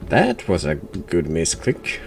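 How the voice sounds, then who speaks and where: A man giving reactive gaming commentary in a gravelly voice, with dry, sarcastic, muttered replies. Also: low voice, wildly swooping pitch, slow pace, faint music behind. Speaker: game streamer, virtual YouTuber.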